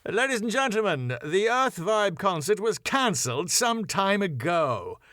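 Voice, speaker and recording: A man's voice: sits high, very animated, a little slow, a bit bright, no room sound, clean studio sound, voice pushed up, exaggerated voice. A man voicing a pompous, sarcastic middle-aged male venue owner. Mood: haughty. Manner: fussy, sarcastic, falsely polite.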